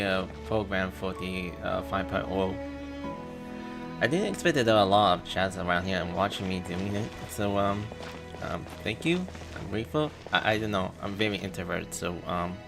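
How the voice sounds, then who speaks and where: A man's voice: on the low side, vocal fry. A man chatting casually to livestream viewers in a hesitant, rambling, self-effacing way. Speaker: game livestreamer. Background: game music.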